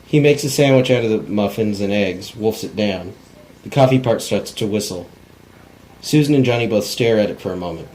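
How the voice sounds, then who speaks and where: A man's voice: fairly low pitch, normal speed, a little mellow, a little hiss or hum, poor audio. A man narrating script directions in a plain, unpolished cold read, stumbling now and then. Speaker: narrator reading stage directions.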